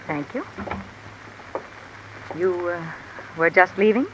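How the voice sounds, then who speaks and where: A woman's voice: fairly low pitch, slow pace, dull audio. A woman playing a calculating, discontented wife with an insinuating, controlled manner in 1950s radio mystery style. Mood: coolly insinuating. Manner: insinuating, controlled, coolly commanding. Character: cool, calculating, discontented wife blackmailing a man. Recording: old radio recording with steady rain noise. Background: rain falling.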